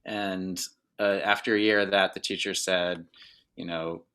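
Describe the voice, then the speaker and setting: A man's voice: sits low, flat delivery, a little slow, pushed and bright, smooth. A middle-aged man from Northern Virginia, a drummer guest in a casual interview, talking in a rambling way with many fillers and restarts.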